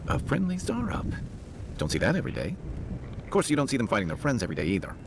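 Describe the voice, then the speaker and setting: A man's voice: medium pitch, wildly swooping pitch, fast, very noisy. A man playing a wry, observant adventuring companion in a video game, speaking conversationally. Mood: wry, curious.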